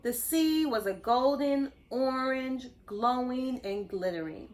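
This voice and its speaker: A woman reading a story aloud to young children, expressive, pausing to explain things and ask simple questions. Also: high-pitched. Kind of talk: animated read-aloud narration.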